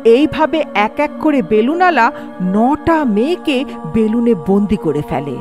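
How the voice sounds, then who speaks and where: A woman's voice: a little high, sing-song, unhurried, over music. A woman narrating a scripted horror tale in a dramatic storytelling style.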